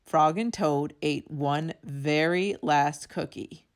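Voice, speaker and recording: A woman storyteller reading a children's book aloud in a deliberate, expressive narrating style. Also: low voice.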